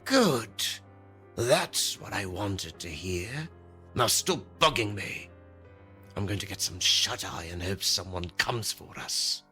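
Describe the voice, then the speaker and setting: Man playing menacing captor, audio thriller, low slow voice, taunting, cold, music underneath. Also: lively intonation, bright, forceful tone, heavily acted. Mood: gruff, dismissive. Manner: threatening, taunting. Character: sinister, menacing male stalker, cold and controlling captor. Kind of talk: audio thriller drama acting.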